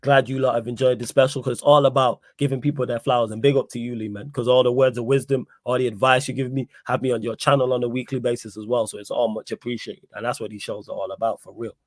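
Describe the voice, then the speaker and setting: A young man hosting a casual live stream, giving shout-outs and reading out chat messages. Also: medium pitch, fairly even intonation, fast talker, few pauses, dry recording. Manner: hypes viewers, reads chat messages aloud. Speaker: host.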